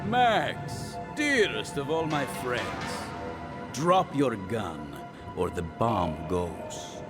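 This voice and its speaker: An actor playing a suave Russian gangster villain in a video game, smug, theatrical and mock-courteous. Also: male voice, sing-song, extremely slow, loud music behind the voice. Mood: mocking threat. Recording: long hall reverb, faint rumbling noise.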